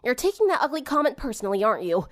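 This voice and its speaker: A woman's voice: a little high, sing-song, racing, fairly bright tone, big-room sound, pitched above the performer's own voice. A woman voicing a feisty, sarcastic, easily startled teenage girl in an audiobook, with an exclamatory, sardonic manner. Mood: disgusted, taunting. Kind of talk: audiobook character dialogue.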